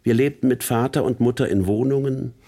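A man's voice: mid-range voice, fairly even intonation, fairly quick, mellow, close to an everyday voice. An actor playing a pensive former gang member looking back on his life in a literary radio play monologue. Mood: nostalgic.

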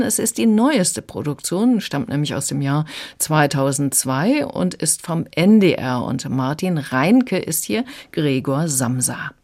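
A woman book reviewer explaining in measured phrases, in a prepared conversational radio style. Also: sits low, a little mellow.

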